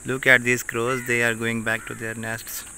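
A young man with a Pakistani accent, a native Saraiki speaker, talks in halting English full of "uh" fillers while filming himself on a phone held at arm's length as he walks outdoors through farm fields. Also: mid-range voice, completely flat delivery, gravelly, sharp tone, slight background noise. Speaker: village vlogger. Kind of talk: casual walking vlog. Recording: faint rural outdoor ambience.

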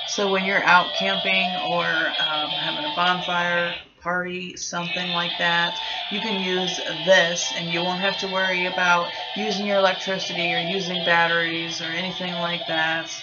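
A woman's voice: on the low side, flat delivery, normal speed, highs cut off, loud music behind the voice. A young adult woman giving a casual, informal product review, thinking aloud as she goes in a small room at home. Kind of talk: casual unboxing review chat.

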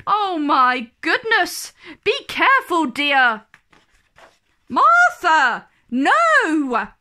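A woman's voice: very high voice, huge pitch swings, drawn-out words, pushed and bright, voice pushed up, exaggerated voice. A woman voicing a bossy, easily alarmed storybook nanny who exclaims and scolds. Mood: alarmed, scolding.

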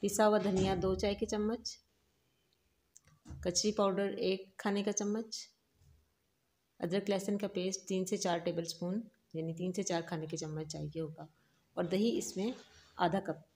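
A woman hosting a home cooking channel, giving calm, instructive step-by-step recipe narration. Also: medium pitch, unhurried, pauses often, husky. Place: home kitchen.

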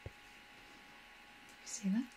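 A woman's voice: extremely slow, dark tone, slight background noise, low-quality recording. Whispering young woman, ASMR game commentary. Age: young adult. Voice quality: breathy, mostly whispered. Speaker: video game streamer and tour guide.

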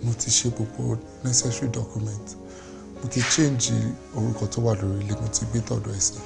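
A remorseful husband begs and apologises, played by an actor. His voice is gravelly and deferential. Music runs clearly underneath. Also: mid-range voice, fairly even intonation, fast talker, close to an everyday voice. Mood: pleading. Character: remorseful young husband, begging forgiveness. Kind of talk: Nollywood drama acting.

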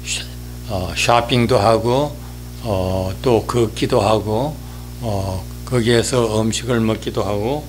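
An elderly man in his eighties giving a reminiscing monologue, speaking haltingly with frequent drawn-out hesitations. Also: on the low side, slight background noise. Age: 80s. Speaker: newspaper-style columnist giving a talk. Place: indoors, small office or studio, seated.

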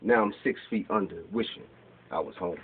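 A man's voice: low voice, normal speed, a little hiss or hum. A man in his forties reading his rhyming spoken word poems aloud at an open mic in a small bookstore, recorded by a camera across the room. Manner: reads from papers, rhythmic rhyming delivery, gestures while reciting. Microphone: far camera. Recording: tinny lo-fi camera audio.

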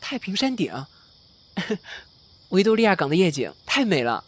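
A man's voice: sits high, big pitch swings, unhurried. A man playing an earnest, modest young novice actor in an audio drama, sincere and deferential, with roomy echo. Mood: curious. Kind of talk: Chinese audio drama acting.

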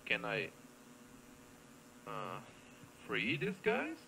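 A man gives casual commentary while he plays an adventure game, with a very gravelly, fry-heavy voice that leaps around in pitch. He speaks slowly, in short, hesitant questions to himself and playful asides to the characters. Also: wildly swooping pitch, bright, forceful tone, slight background noise, poor audio. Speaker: gamer playing a point-and-click adventure. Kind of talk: casual let's play commentary. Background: game music.

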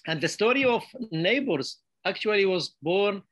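Middle-aged director, slightly high voice, dry close sound, halting and repetitive. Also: male voice, expressive, a bit bright, dry recording. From Syria. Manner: halting, many restarts and repeated words. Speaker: film director. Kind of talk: festival Q&A on a video call. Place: bright room with plants. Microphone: video call.

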